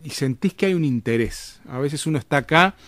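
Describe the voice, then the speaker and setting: A man's voice: on the high side, animated, brisk, fairly bright tone, no room sound. Rambling Argentine man, dry close sound. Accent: Argentine Spanish. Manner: rambling, with frequent restarts and tag questions. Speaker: interviewed author. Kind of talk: casual conversational storytelling.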